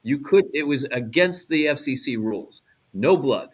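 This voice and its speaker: A man's voice: mid-range voice, expressive, normal speed, husky. An American man, middle-aged or older, co-hosting a radio show with casual, explanatory chat and frequent check-ins with the listener. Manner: conversational, checks in with "right?".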